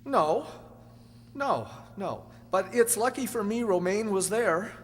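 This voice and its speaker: A man's voice: high voice, expressive, slow, a little hiss or hum. A man in a radio play acting an anxious, earnest accused husband with a hesitant way of talking. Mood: anxious, hopeful. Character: anxious accused husband pleading his alibi. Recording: echoey hall.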